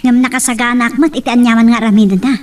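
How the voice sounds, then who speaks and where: A woman's voice: on the high side, steady tone, racing, background noise, lightly acted. An actress playing a defiant young woman in love in an Ilocano radio drama, emotional and insistent. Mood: troubled. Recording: distant from the mic.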